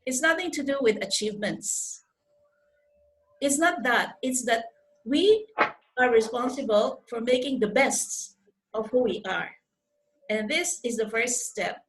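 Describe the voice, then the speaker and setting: An older woman from the Philippines with a Filipino accent, giving an earnest, deliberate motivational talk as a mentor on a video call. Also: a little high, lively intonation, poor audio. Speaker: life coach and programme mentor. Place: indoors on a group video call. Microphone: video call microphone.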